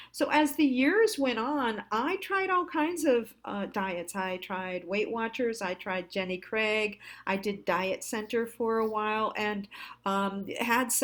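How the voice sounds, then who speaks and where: A woman hosting a confessional storytime, candid and self-deprecating, speaking haltingly with pauses. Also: medium pitch. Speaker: storyteller, host.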